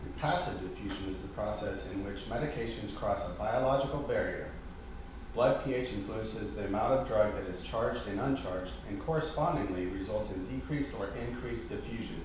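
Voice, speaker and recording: A man with a slightly low voice delivers a scripted lesson in a formal, instructional way. He sounds far from the microphone in a very echoey space, with clear background noise. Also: normal speed. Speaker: lesson presenter quizzing a partner. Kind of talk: scripted educational presentation, read out. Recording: very echoey, distant mic, noisy background.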